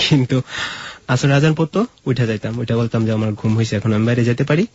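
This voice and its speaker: Man, interview guest, average pitch, conversational personal storytelling, lo-fi radio recording. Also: brisk, slightly rough, dry recording. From Mymensingh, Bangladesh.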